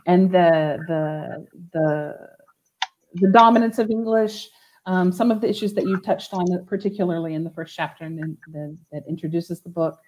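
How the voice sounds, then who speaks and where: A woman interviewing over a video call, talking haltingly with many restarts and small laughs. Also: lowish voice, steady tone, darkish tone, low-quality recording.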